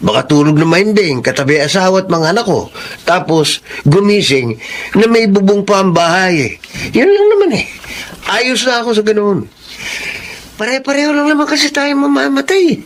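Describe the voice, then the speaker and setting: Radio drama support: contented poor dad, high animated voice, folksy and carefree. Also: male voice, a little hiss or hum, exaggerated voice. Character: cheerful, easygoing, poor family man content with simple life. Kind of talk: Filipino radio drama acting.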